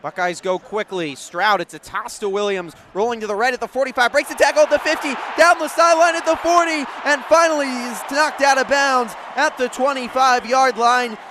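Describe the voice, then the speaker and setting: An American man doing sports play-by-play commentary, measured between plays and rising to excited calls. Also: very high-pitched, a faint crowd, a little hiss or hum.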